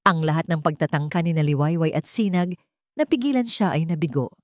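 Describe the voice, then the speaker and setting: Low-voiced Filipino woman telling a dramatic story. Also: brisk, a little mellow, dry recording, clean studio sound. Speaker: story narrator. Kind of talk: dramatic scripted first-person storytelling.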